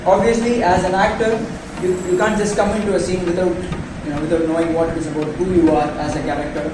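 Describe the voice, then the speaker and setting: Male acting coach, high-pitched voice, instructing a group and checking they follow, heavily reverberant room. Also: level tone, fast, over music. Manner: checks understanding with tag questions. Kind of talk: workshop teaching to actors. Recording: very echoey, distant mic, noisy room.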